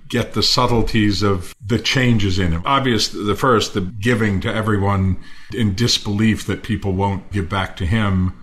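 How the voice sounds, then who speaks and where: Older male actor, low register, reflective and articulate, effusive in conversation. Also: steady tone, normal speed, a bit bright. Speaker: stage actor. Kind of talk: reflective panel discussion.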